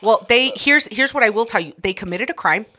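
A woman's voice: fairly high pitch, expressive, fairly quick, bright, forceful tone. A woman co-hosting a podcast, chatty and teasing in casual banter. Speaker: podcast co-host.

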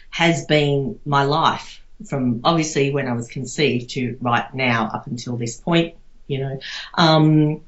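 An Australian woman giving casual, reflective interview answers in a rambling, conversational way, with lots of "you know". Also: low voice. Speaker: interview guest. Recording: echoey room, slightly dull sound.

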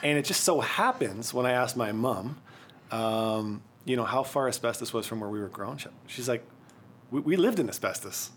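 A man from Quebec hosting a casual podcast chat, relaxed and easygoing, laughing often. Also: mid-range voice, normal speed, slight background noise. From Quebec, Canada. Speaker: podcast host.

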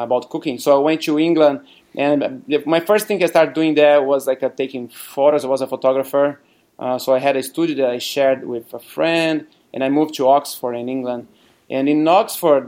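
A man from Brazil with a Brazilian Portuguese accent, telling his story as a guest in a casual interview, chatty and quick to laugh. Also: medium pitch, darkish tone. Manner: chatty, laughs often, many fillers. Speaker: guest, cafe owner.

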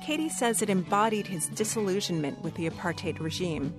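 A woman reporter narrates a radio feature in a scripted style. Her voice is fairly low for a woman, with faint music running behind her. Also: lively intonation, brisk.

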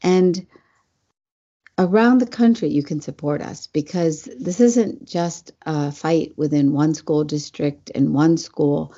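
A woman's voice: deep voice, slow, mellow. A woman speaking as a parent activist, making an earnest, urgent appeal to the audience. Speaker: parent activist, panel guest. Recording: muffled lo-fi audio.

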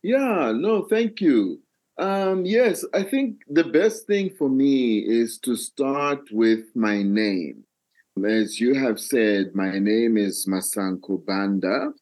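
Older malawian guy telling his life story in an interview, really slow and deliberate, phrase by phrase. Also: mid-range voice, animated, a little mellow. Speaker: interview guest, dancer and storyteller. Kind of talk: reflective personal storytelling in an interview.

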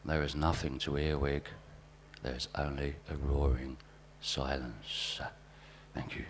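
A man with a wry, deadpan manner giving a live reading of observational anecdotes at a spoken-word event. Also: very deep voice, measured pace. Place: live literary event venue. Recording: live event recording with background hum.

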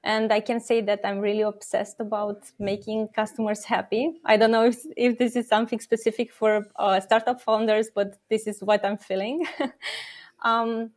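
A woman's voice: medium pitch, level tone, normal speed. Woman explaining earnestly with fillers. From Romania. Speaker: startup co-founder and product officer guest. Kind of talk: interview answers.

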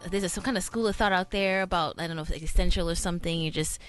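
A woman with a somewhat low voice co-hosts a relaxed interview, circling her ideas with loose fillers before she gets to the question. Her delivery is casual and conversational. Faint music plays in the background. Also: racing. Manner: loose, rambling, with fillers like "I don't know, it's like". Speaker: co-host.

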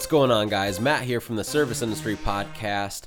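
A man's voice: on the low side, normal speed, loud music behind the voice. Guy with a cold hosting his podcast, kinda nasal, keeps saying right and okay. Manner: direct, coaching, fillers like "right?" and "okay?". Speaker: podcast host. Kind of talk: casual solo podcast talk.

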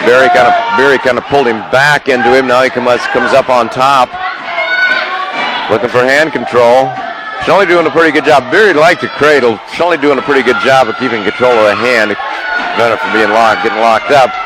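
A man's voice: mid-range voice, fairly even intonation, a little fast. A man giving live radio sports commentary from a school gymnasium, describing moves in short, technical fragments. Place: high school gymnasium during a live meet. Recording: very noisy live gymnasium crowd recording. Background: loud crowd and gym noise.

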